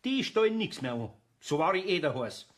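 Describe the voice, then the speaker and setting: A man's voice: on the high side, sing-song, moderate pace, a bit bright. An actor playing a good-natured, easily astonished older craftsman with a Bavarian accent in a children's audio play. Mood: reassuring.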